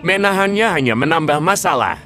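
A gluttonous, greedy king from a dubbed cartoon barks for food and sneers at his own daughter. The voice sits fairly high for a man. Faint music plays underneath. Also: expressive, a little fast, pushed and bright. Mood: scornful. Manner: demanding, scornful.

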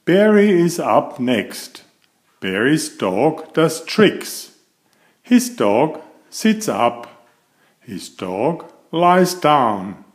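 A man reading a picture book aloud to young children in an expressive, deliberate storytelling manner. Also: a little high. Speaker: picture-book reader and author of children's stories.

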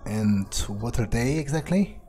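Casting: man as questioning young-in-the-ranks vampire for game roleplay, mid-pitched, halting and impatient, faint music behind. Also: expressive, dark tone, close to an everyday voice. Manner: halting, pondering, sweary. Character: curious, impatient male vampire newcomer. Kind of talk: improvised in-character game roleplay.

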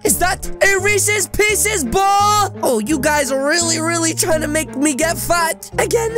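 A young man playing an excitable child in a Roblox roleplay, with an artificially high, cartoonish voice and exaggerated delivery. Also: a little slow. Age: young adult. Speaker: YouTube gaming host playing an adopted child. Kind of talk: playful Roblox roleplay commentary. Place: small room at home. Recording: music behind the voice.

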